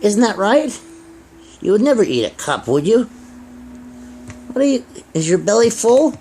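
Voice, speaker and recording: A man's voice: sits high, very animated, unhurried, warm tone, a little hiss or hum, voice pushed up, heavily acted. A man voicing a naive, chirpy little talking cup in a children's puppet show, in a repetitive sing-song way. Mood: naive, trusting.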